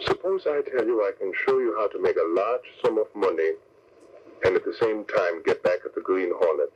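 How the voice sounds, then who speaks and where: An actor playing a cold-blooded, scheming spy boss in a 1940s radio crime serial, with measured, formal, persuasive talk. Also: male voice, low voice. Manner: smooth-talking, measured, formal. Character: scheming, cold-blooded male spy ringleader offering a deal. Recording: dull, noisy old radio broadcast recording.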